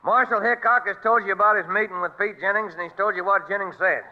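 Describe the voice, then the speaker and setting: An actor playing a plain-spoken, official American frontier sheriff in a vintage Western radio drama. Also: male voice, sits high, fast talker, slight background noise, natural voice. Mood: firm, calling order. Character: plain-spoken frontier sheriff keeping an unruly meeting in order. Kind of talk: 1950s Western radio acting. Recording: dull old radio recording.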